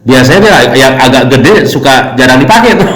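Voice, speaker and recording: A man asking casual interview questions in an informal, halting way, rephrasing as he goes. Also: mid-range voice, animated, fairly bright tone. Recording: echoey room.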